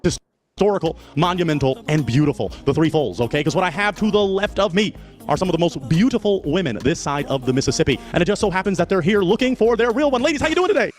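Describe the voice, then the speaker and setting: A man's voice: high voice, expressive, quick pace, soft background music. A man presenting as a dating show host, in an emphatic, flowery, ceremonious showman style.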